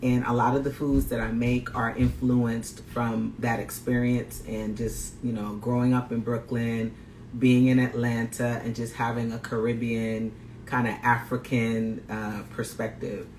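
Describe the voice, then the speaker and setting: A man's voice: mid-range voice, flat delivery, measured pace, darkish tone. A man from Guyana, a plant-based chef and health coach, talking upbeat to viewers of a live cooking demo, greeting them and repeating phrases. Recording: roomy echo, faint background noise.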